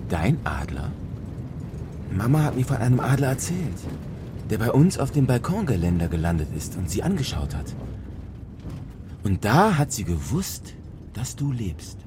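A man playing a worried, talkative father who drives a truck in a German radio play, speaking in an excitable, animated way over engine noise. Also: mid-range voice, normal speed, pauses often, natural voice. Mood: amazed. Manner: animated, exclamatory. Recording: loud vehicle engine noise. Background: truck engine and driving sounds.

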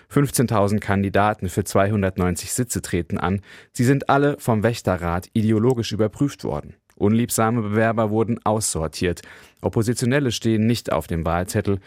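A man filing a scripted radio news report as a correspondent, measured and matter-of-fact. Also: sits low, darkish tone, no echo, studio quality.

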